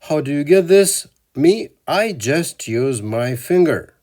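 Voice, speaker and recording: A man giving casual instructional talk as a hobby video host, in a halting way with repeated words. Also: fairly high pitch, lively intonation, darkish tone. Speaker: amateur radio video host.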